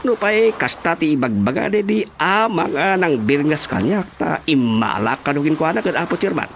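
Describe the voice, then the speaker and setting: A man's voice: on the high side, animated, normal speed, gravelly, slight background noise. An actor with an Ilocano accent playing a village man in a radio comedy drama. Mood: bashful, amused.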